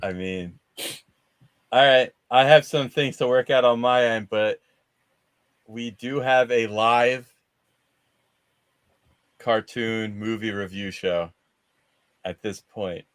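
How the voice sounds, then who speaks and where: A man's voice: mid-range voice, takes it slowly, pauses often, clear, resonant tone, dry recording. A man hosting a movie discussion show on a video call, chatting casually. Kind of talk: casual chat.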